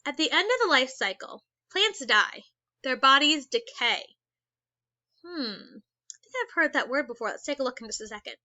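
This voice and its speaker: Bright-voiced woman reading to kids. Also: sits high, animated, dry recording, highs cut off. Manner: reads slowly, pauses to ask questions. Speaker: teacher. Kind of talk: read-aloud lesson for children.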